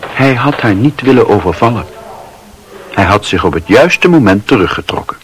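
A man narrating a literary radio play as a wry, observant storyteller with a husky voice. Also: lowish voice, animated, moderate pace, slight background noise, lightly acted. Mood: wry, measured.

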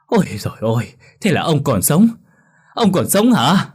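A man's voice: big pitch swings, normal speed, breathy edge, full character voice. A man voicing a stout older village woman, a pork seller, who wails and hurls coarse threats. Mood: frantic. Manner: shrill wailing, coarse threats.

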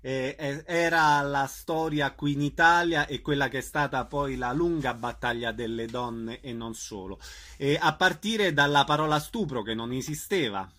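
A man's voice: mid-range voice, moderate pace, pushed and bright. A man hosting a radio interview in an informal, friendly way.

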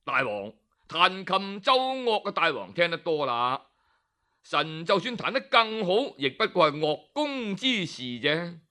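A man voicing a self-assured court musician who speaks in a measured, persuasive way. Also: sits high, very animated, sharp tone, full character voice. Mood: earnest.

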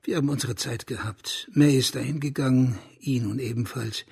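Bitter, creaky-voiced proud aristocrat. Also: male voice, medium pitch, moderate pace, darkish tone. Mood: resigned. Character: bitter, proud last heir of a declining Chinese family. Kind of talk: radio crime drama acting. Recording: music behind the voice.

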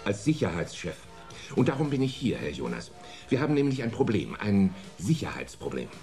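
An actor playing a formal, harried security chief in a German radio play, earnest, indignant and emphatic. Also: male voice, fairly low pitch, wildly swooping pitch, a little fast, dark tone, soft background music. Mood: formal, urgent.

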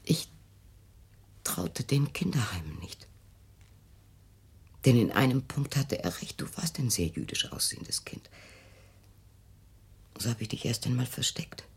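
Husky, low-voiced, controlling mother. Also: lively intonation, in a whisper, exaggerated voice. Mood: cold, matter-of-fact. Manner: measured, insistent, self-justifying. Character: controlling, defensive mother of a fearful grown daughter. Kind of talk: intimate German radio play acting.

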